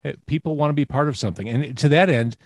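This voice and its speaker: A man's voice: fairly high pitch, quick pace, a little mellow, no echo, clean studio sound. A man hosting an interview, engaged and affirming as he asks follow-up questions. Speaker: interviewer host. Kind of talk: conversational interview.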